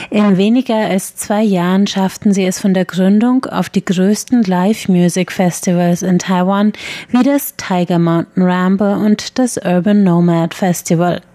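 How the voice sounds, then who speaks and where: Woman, radio feature narrator, mellow tone, dry close sound. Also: medium pitch, no room sound. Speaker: radio reporter. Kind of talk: scripted news narration.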